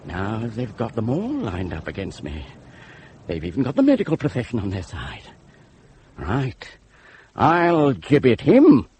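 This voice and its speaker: An actor playing a fiery, defiant pamphleteer, declaiming with scorn and suspicion in a theatrical drama style. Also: male voice, mid-range voice, huge pitch swings, unhurried, a little mellow, a little hiss or hum. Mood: bitter, scornful. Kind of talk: theatrical dramatic monologue and dialogue.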